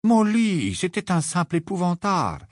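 A man reading the part of a calm, grave male wizard in an audiobook, speaking firmly and soothingly. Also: mid-range voice, measured pace, no room sound, clean studio sound. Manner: grave, reassuring, firm.

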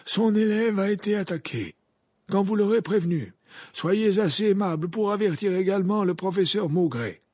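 Man playing a high-pitched, commanding old wizard. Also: normal speed, a little mellow, breathy edge, no echo, clean studio sound. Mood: urgent, commanding. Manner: authoritative, courteous, terse. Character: commanding, composed old male wizard headmaster.

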